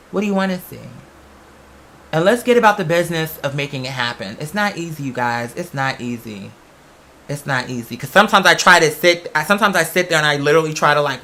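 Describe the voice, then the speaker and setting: A host with a bright, forceful voice at a middling pitch talks casually and directly to followers. The delivery is candid and rambling, full of familiar slang, like an unscripted chat with friends. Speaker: online show host. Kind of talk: casual conversational monologue to followers.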